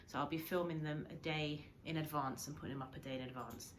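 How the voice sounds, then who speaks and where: Female primary teacher, lowish pitch, informal story-time delivery aimed straight at young pupils. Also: fairly even intonation, fast, slight background noise. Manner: addresses children directly with questions.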